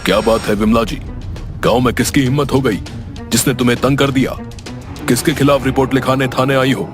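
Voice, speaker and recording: A man's voice: mid-range voice, fairly even intonation, fairly quick, over music, lightly acted. A man voicing a rustic, henpecked village constable in a cartoon, whiny and plaintive. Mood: concerned. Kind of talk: cartoon voice acting.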